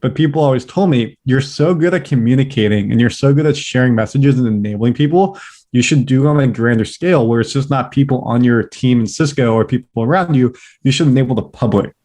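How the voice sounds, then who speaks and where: A young man from California, a podcast guest, chatting upbeat and fast with lots of fillers. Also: medium pitch, dark tone. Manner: upbeat, talks fast in bursts, many "like" fillers. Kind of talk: casual interview chat.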